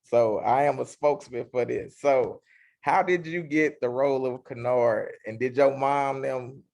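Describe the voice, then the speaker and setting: A man's voice: mid-range voice, unhurried, creaky, darkish tone. A man hosting a casual interview, talking in a loose, slangy, hyped-up way. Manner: hype, slangy self-introduction. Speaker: interview host.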